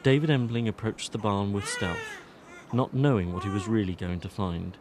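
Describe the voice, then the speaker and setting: A man's voice: on the low side, wildly swooping pitch, moderate pace, noisy background. A man reading scripted narration for an audiobook drama as its storyteller.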